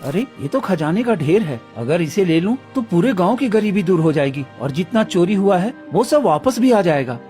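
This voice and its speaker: Earnest village man played by an actor, fairly high male voice. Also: big pitch swings, normal speed, few pauses, darkish tone, faint music behind. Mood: surprised, wary. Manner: pleading, earnest. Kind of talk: Hindi moral-story cartoon dialogue.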